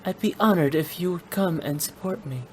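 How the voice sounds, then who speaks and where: A woman's voice: fairly low pitch, normal speed, darkish tone, slight background noise, natural voice. A woman playing a graceful, polite young opera performer in a video game, earnest and poised. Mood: gracious, inviting. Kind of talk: game voice acting. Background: background music.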